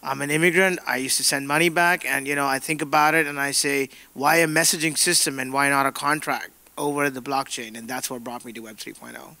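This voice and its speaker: A man's voice: mid-range voice, fairly even intonation, a little fast, hardly any pauses, sharp tone, dry recording. A man speaking as a startup panelist on a conference stage, earnest and reflective, posing questions as he explains.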